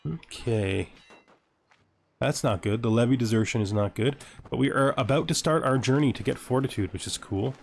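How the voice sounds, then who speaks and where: A man's voice: medium pitch, normal speed, gravelly. A man giving casual let's-play commentary, thinking aloud in fragments and asking himself questions as he goes. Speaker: gaming video host. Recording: music behind the voice. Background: background music.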